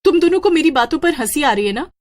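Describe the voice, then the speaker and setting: A woman's voice: high voice, animated, fast talker, a little mellow, pitched above the performer's own voice. A woman voicing an earnest, emotional young working woman in a horror story. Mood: indignant. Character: upset, earnest young working woman who met ghosts. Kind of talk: Hindi horror story dialogue.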